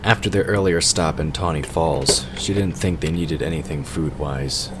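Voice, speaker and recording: A man's voice: rumbling low, moderate pace. A man reading scripted fantasy narration for an audio drama. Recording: clearly noisy background ambience.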